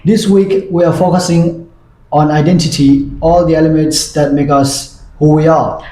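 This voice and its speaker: A man's voice: a little high, fairly even intonation, normal speed, warm tone. A man hosting an interview segment, asking brief prompting questions. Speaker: host. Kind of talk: scripted interview role-play. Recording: echoey room.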